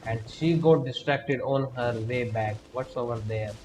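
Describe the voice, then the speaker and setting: A man's voice: mid-range voice, measured pace, a little mellow, slight background noise, low-quality recording. A man giving casual live commentary as a game streamer. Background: game music.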